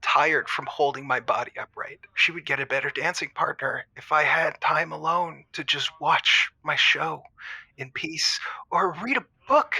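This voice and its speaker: A man acting as a worn-out, overwhelmed boyfriend calling a radio advice show. His voice is very bright and forceful with a husky rasp, recorded close and dry. He rambles haltingly through his complaints like an improvised comedy call. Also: on the high side, no echo, heavily acted, low-quality recording. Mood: relieved, hopeful. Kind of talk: improvised comedic phone-in monologue.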